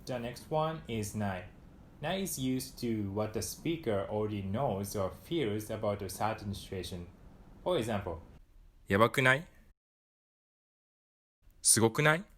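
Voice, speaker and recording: A young man from Japan with a Japanese accent, giving a calm, scripted lesson to camera. He says his Japanese example phrases more loudly and quickly, and he is recorded on a lapel mic in a small room. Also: on the low side, a little hiss or hum. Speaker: language teacher.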